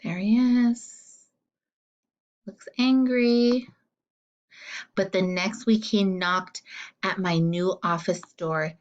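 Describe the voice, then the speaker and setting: A woman reads a children's storybook aloud in a mid-pitched voice. She goes slowly and deliberately, leaning on key words with dramatic emphasis, as a teacher does for a young class. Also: fairly even intonation, muffled recording. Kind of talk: expressive storybook read-aloud.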